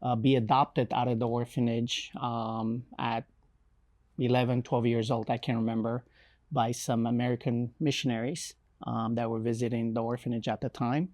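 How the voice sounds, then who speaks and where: A young man from Romania with a Romanian accent, telling his life story in an interview with many pauses, fillers and restarts. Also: medium pitch, level tone, darkish tone. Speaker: missionary guest. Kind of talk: interview, personal testimony.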